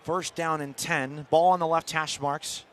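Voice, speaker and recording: A man's voice: on the high side, fairly even intonation, a little slow, fairly bright tone. An American man calling college football play-by-play live on the radio from a stadium. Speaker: play-by-play radio sports commentator. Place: football stadium broadcast position. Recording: outdoor stadium background noise.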